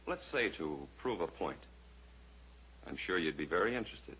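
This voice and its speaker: An actor playing a suave, scheming villain in an old-time radio drama, mock-polite and deliberate. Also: male voice, low-pitched, animated, pushed and bright, rough recording. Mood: mock-polite menace. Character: suave, menacing male villain, a scheming blackmailer. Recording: old radio recording with faint hiss.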